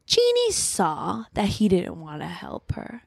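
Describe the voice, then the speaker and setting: A woman's voice: mid-range voice, huge pitch swings, slow pace, vocal fry, no echo, studio quality. A woman co-hosting a podcast, talking casually about books and retelling scenes in an informal, playful way. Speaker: podcast co-host.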